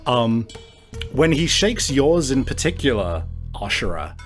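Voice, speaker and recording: A man improvising narration as a tabletop game master in a halting, casual manner with some swearing. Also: medium pitch, very animated, soft background music.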